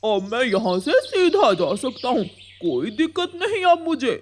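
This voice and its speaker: Cartoon crow, played by a woman: selfish, threatening, curt, high voice with big swings in pitch. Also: very animated, measured pace, background noise. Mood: smug. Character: grumpy selfish male crow with an axe. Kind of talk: animated children's story dialogue.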